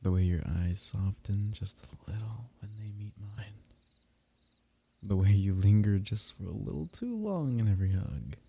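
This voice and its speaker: A young man speaking softly and intimately in an ASMR roleplay, teasing and sometimes dropping into a whisper. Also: lowish voice, lively intonation, normal speed, creaky, husky.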